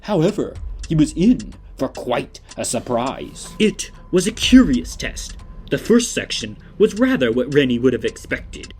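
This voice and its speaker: A man's voice: medium pitch, very animated, measured pace, a little mellow, slight background noise. A man reading scripted storybook narration for an audio drama.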